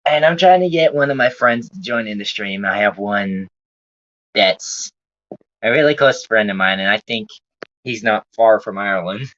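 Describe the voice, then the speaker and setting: A man with a thick American Southern drawl chats loosely with his livestream viewers and swears now and then. His voice sits on the low side, and the recording sounds dull and lo-fi. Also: lively intonation, normal speed, a bit bright. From Southern United States. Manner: rambling, laid-back, casual swearing. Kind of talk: casual livestream chat with viewers.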